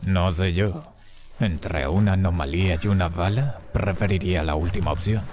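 A man's voice: deep voice, moderate pace, noisy background, natural voice. A man voicing a stoic, world-weary veteran stalker in a Spanish video game dub, terse and grave. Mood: wry, grave.

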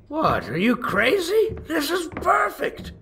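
A man's voice: very high voice, wildly swooping pitch, takes it slowly, bright, forceful tone, a little hiss or hum, exaggerated voice. A man voicing a cheerful, goofy old dwarf cleric with a theatrical, hammy manner in a comic dub. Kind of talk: scripted cartoon acting.

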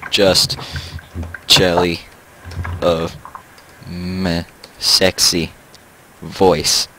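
A man's voice: sits low, little pitch movement, drawn-out words, sharp tone, slight background noise. A teenage boy chatting casually while playing a game, goofy and teasing, laughing often. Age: teens. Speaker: gamer recording gameplay. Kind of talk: casual gameplay chat.

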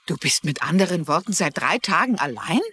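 A middle-aged woman writer in a television drama, caring and solicitous. Her voice sits a little low and moves with very lively intonation. She speaks gently and articulately. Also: brisk, slightly rough, exaggerated voice. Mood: concerned, gentle. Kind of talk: German-dubbed TV drama acting.

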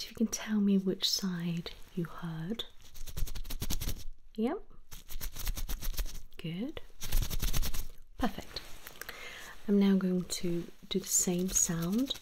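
Soft-whispering woman, slow and gentle. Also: on the low side, slight background noise. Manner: soft, often whispered, gentle reassuring instructions. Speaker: ASMR performer role-playing a medical exam. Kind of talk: ASMR role-play.

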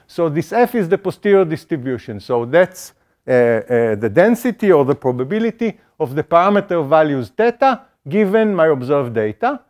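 A man's voice: on the high side, big pitch swings, moderate pace, darkish tone. A middle-aged man giving an academic statistics lecture in an auditorium, explaining step by step with frequent fillers and restarts.

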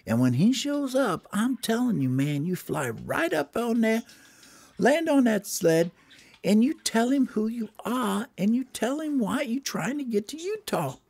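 A woman voicing an excitable, pushy little storybook bird who talks in street slang. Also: on the high side, measured pace, gravelly, slightly rough, voice pushed up, exaggerated voice. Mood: bossy, encouraging. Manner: slangy, says "man" constantly.

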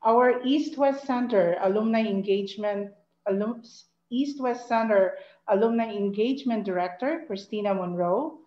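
A woman's voice: mid-range voice, normal speed, hardly any pauses, warm tone. A woman with a Filipino accent giving a formal welcome speech as an academic project leader, in a muffled, echoey recording. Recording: muffled, echoey room sound.

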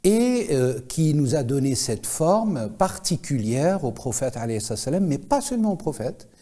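Guy in his 40s explaining stuff in a tv interview, soft mellow tone, careful formal wording. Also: fairly high pitch, big pitch swings, moderate pace. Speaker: guest expert, Islamic scholar. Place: TV studio, indoors.

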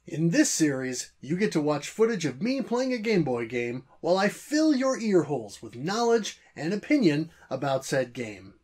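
Higher-voiced man, scripted game review. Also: big pitch swings, moderate pace, hardly any pauses, darkish tone. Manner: wordy, theatrically formal. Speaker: host of a video game review series.

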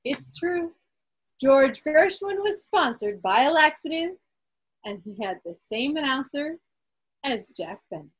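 A woman's voice: sits high, big pitch swings, unhurried. A woman hosting a quiz on a video call, reading out questions and answers with light banter. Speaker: quiz host at club meeting.

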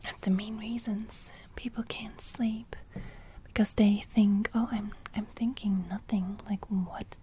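A young woman playing a gentle sleep fairy in a whispered ASMR roleplay, murmuring breathy, repetitive reassurances close to the microphone. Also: medium pitch, level tone, takes it slowly, slight background noise, natural voice. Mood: reassuring. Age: young adult. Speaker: ASMR creator. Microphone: close studio microphone.

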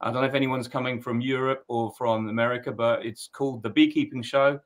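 An upbeat young man from the UK, talking as a guest on a home video call. He is chatty and pokes fun at himself. His voice sits at an ordinary middle pitch for a man. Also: fairly even intonation, fast talker. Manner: upbeat, chatty, self-mocking. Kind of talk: casual live chat. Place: indoors at home.

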